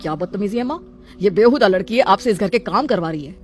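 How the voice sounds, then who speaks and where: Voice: medium pitch, animated, brisk, darkish tone, a little hiss or hum, lightly acted. A performer voicing an arrogant, scornful young husband who talks harshly and haughtily in a storybook drama. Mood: angry.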